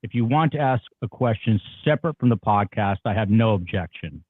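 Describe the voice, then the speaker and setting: A man's voice: fairly low pitch, lively intonation, fairly quick, narrow, tinny audio. A man playing a terse lawyer guarding a witness, with firm, formulaic interjections in a read-aloud deposition, heard over a thin line. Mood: firm, procedural. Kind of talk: reading a deposition transcript aloud.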